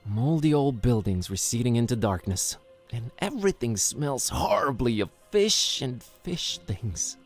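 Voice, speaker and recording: Wry, animated adventuring everyman. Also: mid-range voice, unhurried, soft background music. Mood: wry observing. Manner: wry, offhand. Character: wry, casual, sarcastic out-of-town adult male sleuth hero. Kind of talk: animated adventure game acting.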